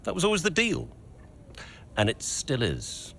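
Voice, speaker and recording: A man's voice: on the high side, huge pitch swings, unhurried, vocal fry, fairly bright tone, slight background noise, exaggerated voice. An actor playing a cold, wealthy investor and father who speaks in a curt, clipped way. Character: cold rich male investor, older father.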